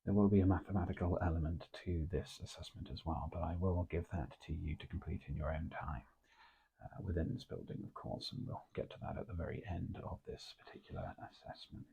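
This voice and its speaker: A British man playing a composed, formal intelligence officer in a hushed, measured ASMR interview role play, with a breathy voice and muffled recording. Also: low voice. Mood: businesslike, hushed. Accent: British English. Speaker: ASMR performer.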